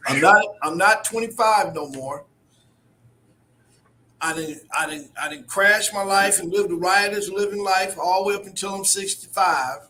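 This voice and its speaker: Older male host in his 60s, high bright voice, emphatic and folksy, faint hum behind. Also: normal speed, poor audio. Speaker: interviewer host. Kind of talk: conversational interview.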